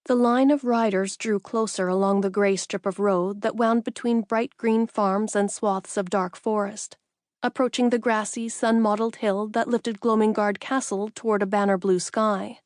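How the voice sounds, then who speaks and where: A woman's voice: mid-range voice, steady tone, normal speed, darkish tone, no room sound, clean studio sound. A woman reading a first-person fantasy audiobook as a scripted narrator.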